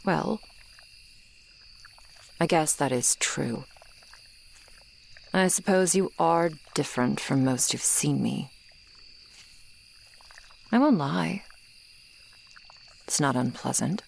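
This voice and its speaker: A woman's voice: low-pitched, animated, creaky, slight background noise, heavily acted. A woman playing an ageless tsundere swamp monster girl, sardonic and grudgingly kind, in an ASMR roleplay. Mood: softening, shyly touched. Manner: slow, sardonic, haughty but softening. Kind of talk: ASMR roleplay acting, addressed to the listener. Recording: night swamp ambience with insects behind. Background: crickets and insect ambience.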